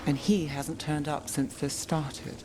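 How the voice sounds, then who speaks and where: Cool, dry game detective. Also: female voice, low-pitched, fairly even intonation, slow, background noise. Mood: dry, guarded. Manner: terse, dry, matter-of-fact. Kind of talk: video game acting.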